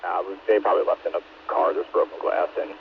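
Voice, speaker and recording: Low-voiced male officer on noisy radio. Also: fairly even intonation, fast, vocal fry. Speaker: police officer at a scene. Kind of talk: police radio traffic. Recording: muffled, very noisy police scanner radio.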